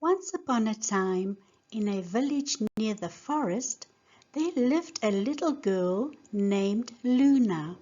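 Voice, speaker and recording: A woman teacher giving a scripted lesson and narrating a storybook in a deliberate, simple way for young learners. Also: fairly high pitch, animated, warm tone, highs cut off. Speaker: English teacher reading a children's story.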